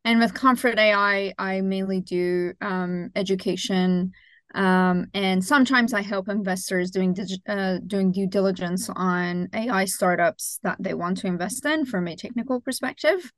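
A woman from Iran in her thirties, a startup founder answering interview questions thoughtfully, with frequent pauses and self-corrections. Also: mid-range voice, no room sound.

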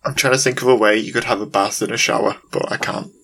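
A man co-hosting a podcast over a voice call, dropping quiet deadpan one-liners. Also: on the low side, fast talker, creaky, husky. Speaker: podcast co-host. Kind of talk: casual podcast chat. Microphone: remote over a voice call.

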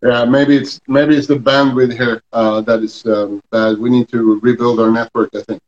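Man, podcast host, halting delivery, lots of uh and um, roomy echo. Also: mid-range voice, level tone, darkish tone, highs cut off. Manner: halting, many fillers and restarts. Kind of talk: casual interview chat.

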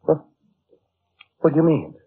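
An actor playing a nervous, respectable brother, stammering and flustered, in a 1940s radio suspense drama. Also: male voice, fairly high pitch, sing-song, a little slow. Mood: alarmed. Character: nervous, frightened, respectable twin brother cornered at home. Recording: muffled, narrow-band vintage radio recording.

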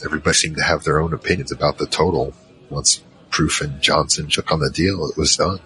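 A man's voice: extremely low pitch, fairly even intonation, fairly quick, over music, rough recording. A man playing an easygoing, nerdy troll mage who narrates his own story in a casual, rambling, slangy way for an audio drama. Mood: wry reminiscing. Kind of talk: conversational first-person drama narration.

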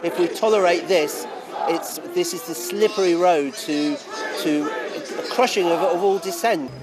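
A man giving a halting, unscripted vox pop as a protester. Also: sits high. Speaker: protester interviewed for news. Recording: public crowd noise behind.

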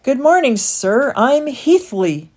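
As a woman reads aloud, a jittery young rat stammers in a raised, husky voice, tight with emotion. He sounds anxious and eager to please. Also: sits high, big pitch swings, slow, a little mellow, voice pushed up, exaggerated voice. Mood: anxious, pleading.

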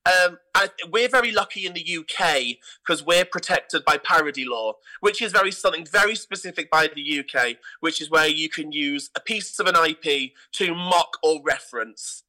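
Bright, higher-pitched British man, enthusiastic. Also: normal speed, few pauses, low-quality recording. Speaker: guest, artistic director of a pantomime theatre company. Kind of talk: conversational interview answers.

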